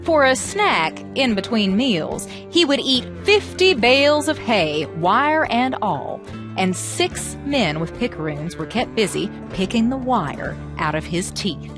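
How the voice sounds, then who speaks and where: A woman telling folk tales as a presenter, with expressive, theatrical, emphatic phrasing. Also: on the high side, slow pace, music in the background. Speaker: storyteller and presenter. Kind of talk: animated storytelling narration of American folklore.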